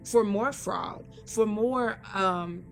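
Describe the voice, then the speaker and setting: A woman co-commentator on a radio talk show, discussing the news in a measured, explanatory, conversational way. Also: medium pitch, vocal fry. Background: music under the talk.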